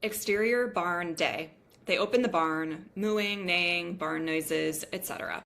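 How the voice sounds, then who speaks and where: A woman's voice: fairly low pitch, a little slow, creaky, fairly bright tone. A woman reading the scene directions of a script as narrator at a table read.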